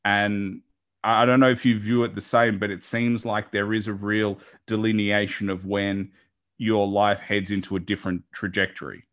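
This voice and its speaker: A man's voice: lowish voice, flat delivery, normal speed, fairly bright tone. A man hosting a podcast, chatting casually with a guest in a rambling, encouraging way. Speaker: podcast host. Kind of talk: casual interview chat.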